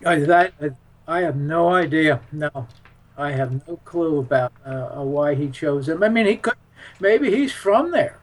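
A man's voice: on the high side, expressive, unhurried. A man speaking as a guest in a casual radio interview, rambling and emphatic in his manner.

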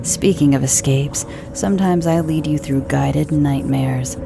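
A woman's voice: low-pitched, creaky, background noise. A woman reading a scripted horror podcast promo in a soothing yet eerie way. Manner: soothing, slow-burn eerie delivery. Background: music.

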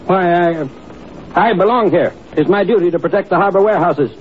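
An actor playing an evasive, fussy and officious police inspector in a 1950s radio drama. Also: male voice, high voice, a little fast, close to an everyday voice. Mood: defensive. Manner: fussy, defensive. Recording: tinny, hissy old radio recording.

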